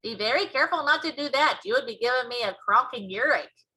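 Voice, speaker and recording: Woman voicing a blustery, high-pitched kindly giant. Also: lively intonation, quick pace, pushed and bright, poor audio. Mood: gently cautioning. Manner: quaint broken grammar with muddled invented words. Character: huge kindly male giant, blustery, easily pained. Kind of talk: children's storybook character voice.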